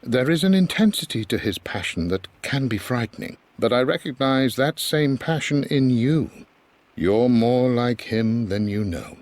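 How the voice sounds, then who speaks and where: Kindly butler, expressively melodic. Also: male voice, mid-range voice. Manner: formal, gentle, reassuring. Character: kindly, devoted older male household butler. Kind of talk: letter read aloud, game voice acting.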